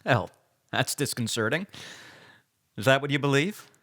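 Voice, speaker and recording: An actor playing a serious, probing interviewer and narrator in a radio documentary drama. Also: male voice, mid-range voice, expressive, normal speed, fairly bright tone.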